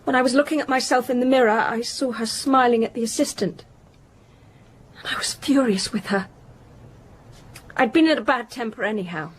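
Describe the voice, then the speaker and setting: An actress playing a proud, guilt-stricken young daughter of a well-to-do family in a radio drama, earnest and defensive. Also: female voice, on the high side, normal speed, long pauses, slight background noise, natural voice. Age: young adult. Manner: earnest, defensive, faltering under questioning.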